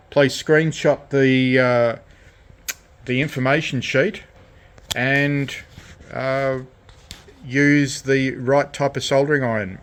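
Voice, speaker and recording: A man giving an unscripted hands-on demonstration of a DIY electronics project, with a halting, rambling delivery. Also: mid-range voice. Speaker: DIY electronics hobbyist presenting his project. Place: indoor radio shack workbench.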